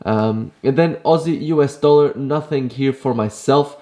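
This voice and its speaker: A young man vlogging his day and casually talking through trading charts, relaxed and self-deprecating, with frequent fillers. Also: medium pitch, moderate pace. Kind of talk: casual vlog and market commentary.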